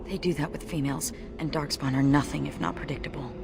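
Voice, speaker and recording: Wry, low-voiced warrior woman, noisy backdrop. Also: steady tone, quick pace, creaky. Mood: grim, urgent. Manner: wry, understated, matter-of-fact. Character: brave wry female dwarf warrior, sole survivor of her legion. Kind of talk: video game voice acting.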